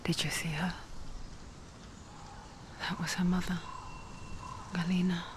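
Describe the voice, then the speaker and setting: A woman playing an intimate, knowing narrator in a dark fantasy game, speaking in a breathy, confiding whisper. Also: fairly low pitch, level tone, noisy background. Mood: hushed, wistful. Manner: slow, hushed, confiding, addressing the listener. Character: soft-spoken female narrator voice, intimate and eerily knowing. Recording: music behind the voice. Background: music.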